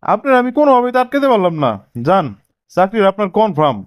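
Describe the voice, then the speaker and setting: A man's voice: high voice, big pitch swings, fast, no room sound, clean studio sound. A man voicing a cartoon boss who puts terse trick questions to an applicant in colloquial Dhaka-accented Bengali. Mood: conceding. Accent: Bangladeshi Bengali, colloquial Dhaka. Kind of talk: comic cartoon dialogue.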